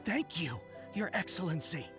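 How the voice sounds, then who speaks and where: A man's voice: fairly high pitch, sing-song, normal speed. A man voicing an earnest, deferential soldier in a video game, speaking formally and dutifully. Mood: humbled, dutiful. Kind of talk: scripted video game voice acting. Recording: game music behind the voice.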